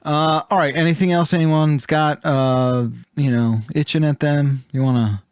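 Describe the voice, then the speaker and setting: A man hosting a casual group chat, talking in an animated, jokey way. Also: on the high side, measured pace, smooth, no echo. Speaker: podcast host.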